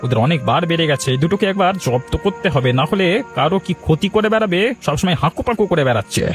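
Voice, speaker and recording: A man plays a righteous village uncle in a cartoon, his voice pitched high and moving fast. He scolds troublemakers with sarcasm and threats, with faint music underneath. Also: animated, close to an everyday voice. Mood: stern. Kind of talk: Bengali cartoon voice acting.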